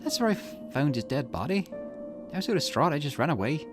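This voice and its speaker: A fierce young woman from a visual novel game, acted out by a man, tough and grieving. The delivery is emphatic and indignant, with pitch that swings widely over background music. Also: sing-song, a little fast. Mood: distraught recollection.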